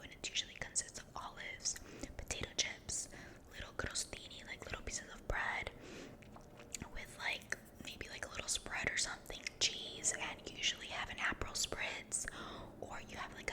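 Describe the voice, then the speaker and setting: A young woman whispering a rambling story close to the mic, softly repeating words to herself. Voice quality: unvoiced whisper.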